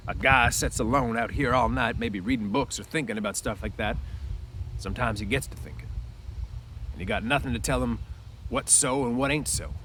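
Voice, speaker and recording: A man's voice: medium pitch, moderate pace, a bit bright, slight background noise, close to an everyday voice, poor audio. A man voicing a lonely, bitter, scornful stable hand in a storybook, with folksy, ungrammatical ranch speech. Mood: wistful, brooding.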